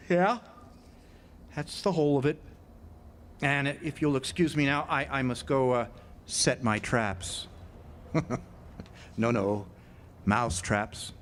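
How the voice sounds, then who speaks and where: An actor playing a haunted, nervous lighthouse keeper in an old-time radio drama monologue, halting and repeating words between dramatic pauses. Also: male voice, mid-range voice, a little hiss or hum, lightly acted. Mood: uneasy, evasive. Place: live amphitheater stage performance.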